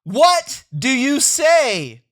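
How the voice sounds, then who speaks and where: A man's voice: very high-pitched, big pitch swings, very slow, fairly bright tone, voice pushed up, heavily acted. A man voicing a stern, exasperated mother from a comic strip, with emphatic scolding delivery.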